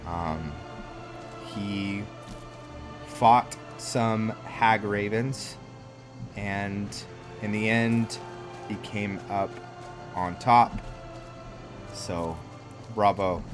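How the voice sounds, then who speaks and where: A man's voice: fairly low pitch, drawn-out words, pauses often. A man giving casual gameplay commentary as host of a roleplay series, thinking aloud in a rambling, musing way that often trails off. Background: game music.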